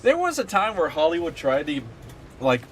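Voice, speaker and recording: A man's voice: on the high side, wildly swooping pitch, moderate pace. A young man streaming a game, chatting casually in a rambling, sweary way and sounding a bit stuffed up. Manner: rambling and sweary, lots of fillers. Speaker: gaming streamer. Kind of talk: casual chat while playing a game.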